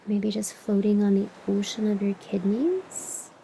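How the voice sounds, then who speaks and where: A woman leading a guided meditation as a teacher, soothing and unhurried, with long pauses and breathy phrase endings that drop toward a whisper. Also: mid-range voice, mellow, a little hiss or hum, rough recording. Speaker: meditation teacher and podcast host.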